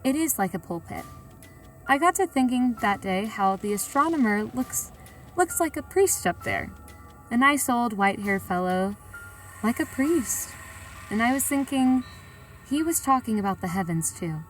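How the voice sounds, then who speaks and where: A woman's voice: fairly high pitch, big pitch swings, normal speed, recorded from a distance, faint music behind, rough recording. A woman playing a plain-spoken, reflective sightseer who tells her story in a colloquial, confiding way. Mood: musing, confiding. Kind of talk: first-person audio drama narration.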